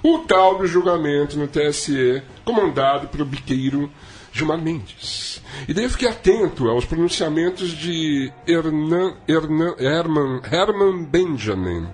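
Man rambling with sardonic, lively swings. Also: unhurried. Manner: rambling, sardonic storytelling with big swings in pitch. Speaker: podcast co-host. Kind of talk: casual comedic chat.

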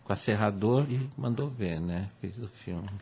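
Older brazilian guy, low husky voice, laid back, keeps saying né. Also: a little mellow. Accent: Brazilian Portuguese. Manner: unhurried, trails off with "né?" and repeats. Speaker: film director guest. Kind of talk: relaxed interview recollection.

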